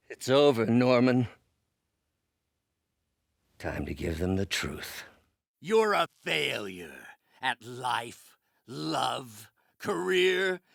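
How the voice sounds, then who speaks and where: A man's voice: fairly low pitch, no echo, studio quality, heavily acted. A male actor voicing a brilliant, bitter middle-aged scientist turned vengeful villain in a video game, with articulate, theatrical delivery. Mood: furious. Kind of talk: dramatic video game acting, isolated voice lines.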